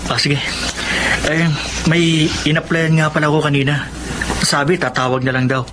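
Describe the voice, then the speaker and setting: A man's voice: on the high side, little pitch movement, normal speed, close to an everyday voice. A man acting a discouraged, anxious young working student in a TV drama. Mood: casual, unsure. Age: young adult. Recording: loud music under dialogue.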